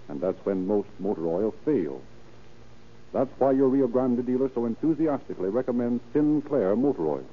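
A man narrating and announcing in a formal, theatrical, emphatic 1930s radio style. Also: fairly low pitch. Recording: tinny, hissy 1930s radio recording.

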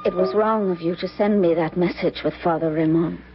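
An actress playing a tormented young woman burdened by a dark heritage, with solemn, melodramatic phrasing in old-time radio style. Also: fairly low pitch, fairly quick, loud music behind the voice, natural voice. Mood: sorrowful, tender. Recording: dull vintage radio broadcast recording.

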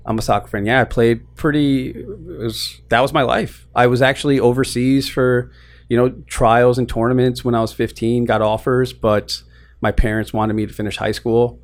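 A man's voice: mid-range voice, little pitch movement, moderate pace. A young man from Queens, New York, talking casually as an interview guest about starting his business, easygoing and self-deprecating with frequent restarts. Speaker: guest, lending business co-founder.

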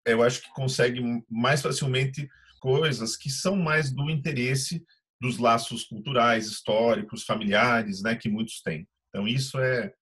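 A man's voice: mid-range voice, moderate pace, fairly bright tone, slightly rough. A man from Brazil with a Brazilian accent, a tour guide talking conversationally in an interview. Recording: dull, muffled lo-fi audio.

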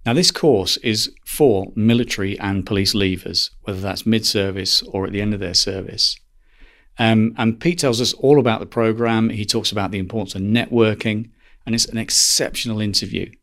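A man's voice: lowish voice, normal speed, fairly bright tone. A man hosting a podcast interview, polite and friendly with a few fillers. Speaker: podcast host.

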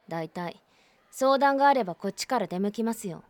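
A woman's voice: medium pitch, lively intonation, moderate pace, darkish tone, no room sound, close to an everyday voice. A woman doing a curt, sarcastic schoolgirl. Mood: cold. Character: blunt, prickly teenage schoolgirl. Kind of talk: voice drama acting.

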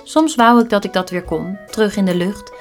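An actress playing a self-doubting female bird, gentle and earnest, in a children's audio drama. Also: mid-range voice, normal speed, faint music behind, lightly acted. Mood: wistful. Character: wistful, self-doubting female bird who dreams of flying.

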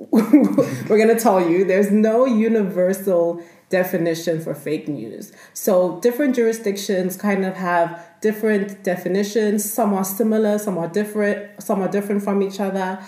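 A woman who grew up in South Africa, co-hosting a law and technology podcast and explaining topics in a relaxed, conversational way. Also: medium pitch, normal speed. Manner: relaxed and explanatory, with frequent fillers. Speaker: podcast co-host, law researcher. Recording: echoey room.

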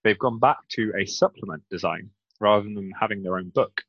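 A man's voice: lowish voice, fairly quick, fairly bright tone, husky, highs cut off. A man co-hosting a casual podcast, talking with many ums as he explains game lore at length. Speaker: podcast co-host.

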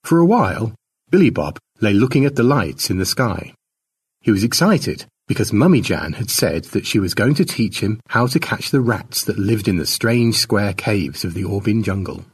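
A man's voice: on the low side, big pitch swings, normal speed, slightly rough, distant mic. A man narrating his own short story as a scripted fiction reading.

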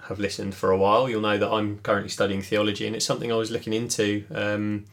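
A man's voice: on the low side, completely flat delivery, fast. A man co-hosting a podcast, speaking in a thoughtful, casual way with many fillers and restarts. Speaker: podcast co-host. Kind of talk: casual reflective chat.

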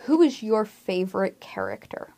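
A woman's voice: medium pitch, lively intonation, normal speed, dark tone. A woman asking questions as an interviewer in a casual, informal way.

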